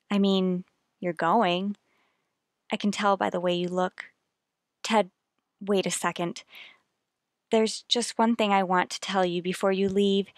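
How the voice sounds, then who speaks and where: A woman plays a devoted wife who knows more than she says in a radio mystery. Her voice is mid-pitched, tender and insistent, recorded dry. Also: dry recording, lightly acted. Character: devoted, knowing wife with a veiled secret. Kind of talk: radio mystery drama acting.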